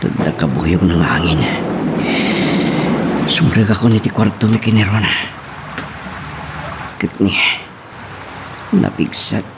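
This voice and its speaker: A man's voice: deep voice, slow, long pauses. A man playing a brooding, remorseful character in a somber, reflective radio drama monologue. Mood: somber, regretful. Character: brooding, remorseful man narrating his own story. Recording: noisy storm-like ambience behind voice.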